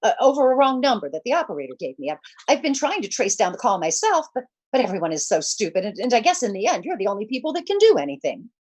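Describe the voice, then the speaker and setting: An actress playing a nervous, demanding invalid wife in 1940s radio suspense style, with a fretful, insistent, stammering delivery. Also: female voice, a little high, very animated, fast, hardly any pauses, darkish tone, slightly rough. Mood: agitated, fretful.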